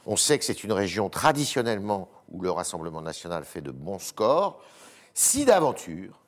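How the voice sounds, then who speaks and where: A man's voice: low voice, sing-song, normal speed. A man interviewing a politician, asking probing questions with hesitant fillers and frequent interjections.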